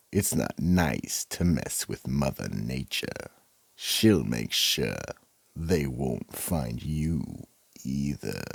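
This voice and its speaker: A man playing a sinister, menacing horror storyteller with a gravelly, husky voice, narrating a radio drama theatrically. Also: very deep voice, no echo, full character voice. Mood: sly, menacing. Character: sinister psychotic horror storyteller, keeper of the vault. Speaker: host and narrator.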